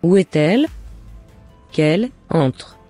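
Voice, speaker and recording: Woman acting older mother, husky mellow voice, composed, questioning, discerning yet approving, cartoon drama. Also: low voice, animated, slow, soft background music, heavily acted. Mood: inquiring.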